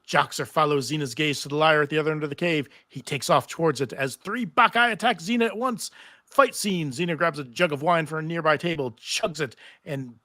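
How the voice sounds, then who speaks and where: A man's voice: fairly high pitch, brisk, pushed and bright, no room sound. A man narrating stage directions in a live table read of a TV script.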